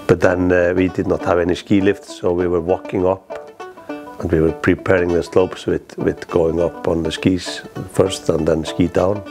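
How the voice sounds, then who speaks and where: A middle-aged man from Iceland's East Fjords with an Icelandic accent, reminiscing in halting, plain, non-native English in a documentary interview. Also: low-pitched, flat delivery. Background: guitar music.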